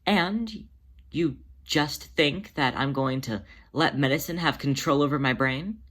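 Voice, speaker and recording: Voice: mid-range voice, unhurried, a bit bright. A narrator voicing an anxious female cat who is wary, hesitant and defensive. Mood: defensive, indignant.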